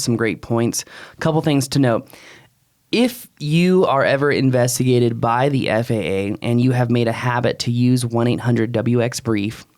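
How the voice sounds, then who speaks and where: A man's voice: mid-range voice, darkish tone. A man in his thirties talking casually and bluntly as a podcast co-host, close on a studio mic. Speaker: podcast co-host and drone expert. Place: small wood-panelled podcast room. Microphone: close studio mic on boom arm.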